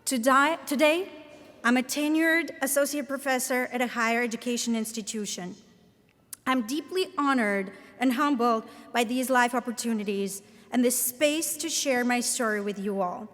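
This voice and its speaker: A woman in her thirties with a Latvian accent, giving a formal commencement address in a measured, earnest, deliberate way. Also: a little high, a bit bright. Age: 30s. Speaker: commencement speaker, professor. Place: large ceremony hall. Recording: reverberant hall sound.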